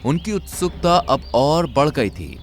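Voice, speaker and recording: Lively male cartoon story narrator. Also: a little high, unhurried, a little hiss or hum. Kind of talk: scripted children's story narration.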